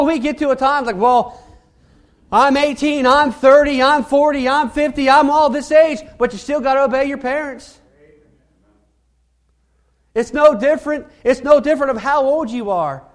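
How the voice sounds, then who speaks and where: A man preaching a sermon in a halting, earnest way, often repeating words as he goes. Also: very high-pitched.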